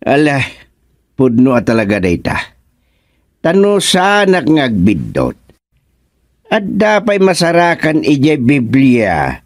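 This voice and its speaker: An actor playing a bewildered, stubborn village father with an Ilocano Filipino accent, in drawn-out, exclamatory radio comedy-drama style. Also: mid-range voice, no room sound, studio quality. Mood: grave, insistent.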